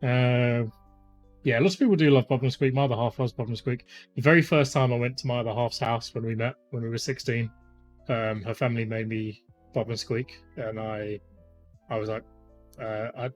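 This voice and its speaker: A man in his thirties chatting casually as a stream host, rambling with lots of fillers, his voice slightly croaky. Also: medium pitch, steady tone, moderate pace. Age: 30s. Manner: rambling, chatty, many fillers, greets viewers by name. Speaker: livestream host. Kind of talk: casual live-stream chat. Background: faint lo-fi music.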